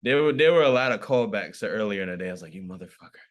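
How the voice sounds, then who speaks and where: A man in his twenties co-hosting a casual podcast, laughing loudly and swearing. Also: on the low side, lively intonation, racing. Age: 20s. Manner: loud laughing, swears, mumbles off. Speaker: podcast co-host.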